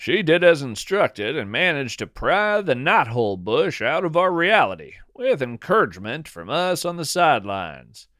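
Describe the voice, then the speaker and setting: A man narrating a scripted first-person story as a podcast host, in a whimsical, deliberate and dryly playful manner. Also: fairly high pitch, very animated, a bit bright, no room sound, studio quality.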